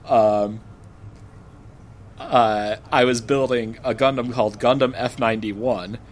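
Man, unhurried delivery, medium pitch, teasing, chuckling, casual chat. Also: fairly even intonation, a little hiss or hum. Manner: slow, teasing, laughs at his own jokes. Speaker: guest co-host.

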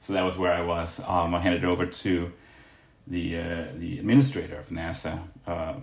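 A man from Denmark, a guest scientist, answering interview questions in a halting way with fillers. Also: low voice, flat delivery, reverberant.

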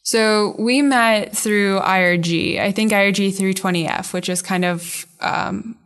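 A woman's voice: medium pitch, a little slow, a bit bright. A young woman, a student, interviewing a classmate in a casual, chatty way. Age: young adult. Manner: chatty, loosely phrased questions.

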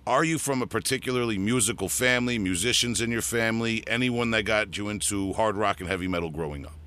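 A man's voice: on the low side, fast talker, sharp tone, smooth. A man hosting a podcast, asking questions in a friendly, chatty way. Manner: friendly, chatty, laughs easily. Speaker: podcast host. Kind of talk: casual interview.

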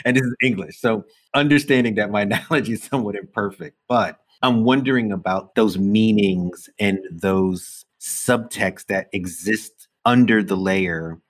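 A man hosting a podcast, chatting in a relaxed way and laughing often. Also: on the low side, moderate pace, hardly any pauses. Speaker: podcast host. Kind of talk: casual interview chat.

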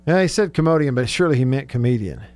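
A man's voice: mid-range voice, expressive, fast talker, darkish tone. A man hosting casual sports talk radio, chatty and joking as he reads out listener texts. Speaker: radio show host. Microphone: close studio radio microphone.

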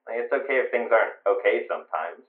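A man's voice: lowish voice, animated, fast, phone-quality sound. A man playing an easygoing, jokey friend rambling through a casual voicemail in an audio drama, phone-filtered. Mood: gently concerned. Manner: chatty, rambling, corny jokes. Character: easygoing, jokey male buddy leaving a voicemail. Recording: thin phone voicemail, echoey.

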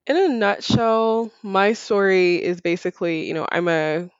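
A woman's voice: medium pitch, measured pace, clear, resonant tone, dry recording. Woman guest, chatty, on dull audio. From Barbados. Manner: informal, peppered with "like" and "you know". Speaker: podcast guest. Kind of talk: casual conversational interview answers.

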